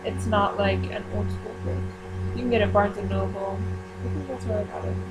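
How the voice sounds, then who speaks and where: A woman chatting casually to her viewers as she runs a book club, rambling with frequent ums and quick self-corrections. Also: medium pitch, steady tone, loud music behind the voice, poor audio. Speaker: YouTuber running a book club. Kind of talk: casual chat to camera.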